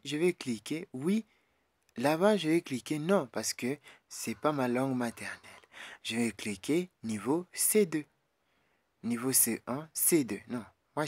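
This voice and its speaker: Guy doing a screen walkthrough, kinda out of breath, chatty and repeats stuff, average pitch, dry close sound. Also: no echo. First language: not English; French preferred language. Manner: chatty, repeats himself, many fillers. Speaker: YouTube channel host giving an application tutorial. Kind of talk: casual screen-recorded walkthrough.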